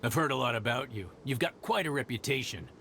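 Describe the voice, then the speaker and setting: A smug menacing stranger. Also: male voice, mid-range voice, expressive, fast, pushed and bright, a little hiss or hum. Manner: smug, threatening. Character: menacing male stranger delivering a warning. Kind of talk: video game dub.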